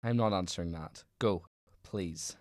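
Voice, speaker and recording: A man's voice: sits low, a little slow, noisy background. A man playing a stern, exasperated professional detective inspector in an amateur radio drama, speaking formally and precisely. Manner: formal, clipped, no contractions.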